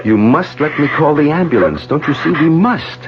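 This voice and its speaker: Commanding doctor with widely swinging pitch. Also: male voice, big pitch swings, background noise. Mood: urgent, soothing. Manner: commanding, instructing, deliberate. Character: authoritative, controlled male doctor managing a crisis. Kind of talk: 1970s radio mystery drama acting. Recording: dull 1970s radio broadcast with music.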